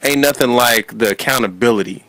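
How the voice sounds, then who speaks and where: A man hosting a relaxed talk show chat. His voice is bright and forceful at a middling pitch, and he talks informally, with emphatic, slangy phrasing and plenty of affirmation. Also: a little fast, no room sound, poor audio. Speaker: talk show host interviewing guests. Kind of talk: casual chat.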